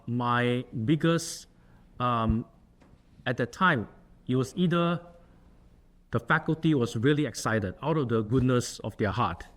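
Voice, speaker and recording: A middle-aged man from Singapore with a Singaporean accent, an associate dean giving an earnest talk and answering questions in a lecture room. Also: medium pitch. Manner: earnest, with frequent fillers and restarts.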